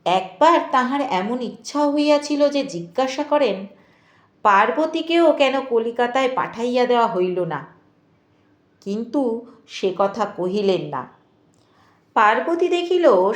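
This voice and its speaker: A woman narrating a literary novel in a measured, expressive way with deliberate pauses. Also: a little high. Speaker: audiobook narrator. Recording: echoey voice with music behind. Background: music.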